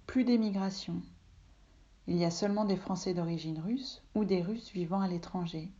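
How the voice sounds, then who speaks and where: Soft-spoken woman, mellow low voice. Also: normal speed, highs cut off. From Russia. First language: Russian. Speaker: reader, translator. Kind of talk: literary reading. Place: home office.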